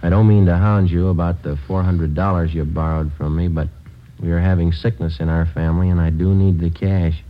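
A man's voice: low voice, steady tone, fairly quick, few pauses, natural voice. An actor playing a terse police sergeant with an American accent, narrating his case in a clipped, matter-of-fact way in the style of 1950s radio drama. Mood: businesslike. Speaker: detective and narrator. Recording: dull vintage radio recording with music.

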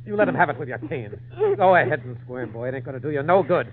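A man's voice: mid-range voice, lively intonation, fast talker, slight background noise, natural voice. A man playing a cruel, bullying circus boss with sneering rustic talk in an old-time radio drama. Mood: sneering, menacing. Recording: tinny phone-like vintage radio sound.

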